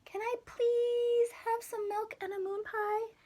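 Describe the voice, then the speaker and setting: A woman's voice: very high voice, little pitch movement, slow pace, warm tone, voice pushed up. A woman voicing an eager, grumbling young boy adventurer from a storybook, with an exclamatory, wishful delivery. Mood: pleading.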